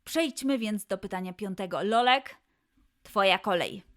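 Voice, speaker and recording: A woman presenting a children's quiz as host, posing questions and answers in a clear way for young listeners. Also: medium pitch, a little slow, a bit bright.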